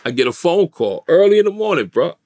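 A man in his fifties with a gravelly voice and a New York accent, telling a story in slangy, emphatic, streetwise interview talk. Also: fairly high pitch, very animated, a little fast, a little mellow, no room sound, clean studio sound. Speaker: interview guest. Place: small indoor recording studio, dry sound.

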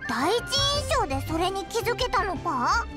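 Super high squeaky little game fairy, brash n chatty, music playing behind. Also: female voice, sing-song, moderate pace. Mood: curious, blunt. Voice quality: squeaky, childlike. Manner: blunt, boyish, casual phrasing. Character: tiny childlike fairy companion, brash, chatty, easily surprised. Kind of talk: Japanese anime-style game voice acting.

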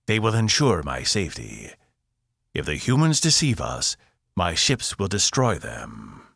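A man's voice: deep voice, huge pitch swings, a little slow, gravelly, husky, no room sound, clean studio sound, full character voice. A man voicing a wary, imperious female alien priestess who speaks sharply and formally. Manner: sharp, commanding, archaic.

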